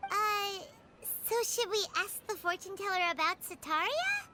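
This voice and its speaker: A woman voicing a tiny, childlike fairy companion in a video game, squeaky, chatty and cheeky, with an exclamatory delivery. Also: big pitch swings, measured pace, over music, heavily acted. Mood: surprised, curious. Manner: speaks of self in third person, exclamatory.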